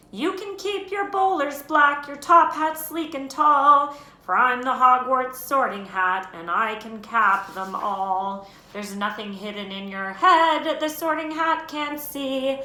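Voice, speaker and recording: A woman voicing an old, patched, singing wizard's hat that chants its verses in rhyme, loud and lively. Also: on the high side, takes it slowly, voice pushed up. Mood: sing-song. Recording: roomy with faint noise.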